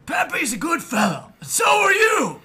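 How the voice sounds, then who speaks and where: A booming old dog general from an audio drama, high and cracking, with a gravelly rasp. Jovial and blustery, he loses the thread now and then. His tone is bright and strained, and his voice climbs and falls a lot. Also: huge pitch swings, normal speed, exaggerated voice. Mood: hearty. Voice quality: raspy, gravelly. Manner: booming, jovial, drifts into confusion. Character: old male hound general, senile, hearty, bedridden. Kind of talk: fan audio drama reading.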